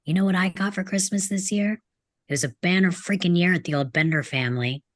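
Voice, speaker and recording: Woman playing a sneering rebellious teenage boy, low voice. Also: a little fast, no echo, studio quality, lightly acted. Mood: bitter, mocking. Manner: blunt, sneering, swearing. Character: angry, sarcastic rebellious teenage boy from a rough home. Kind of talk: video-call table read of a film script.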